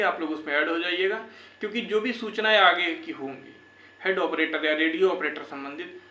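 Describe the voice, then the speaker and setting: A man's voice: high voice, bright, forceful tone. A young man giving informal, earnest advice to viewers as a channel host, repeating his points to urge them on. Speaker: YouTube channel host for exam candidates. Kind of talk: informal advisory talk to viewers. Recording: echoey room with faint background noise.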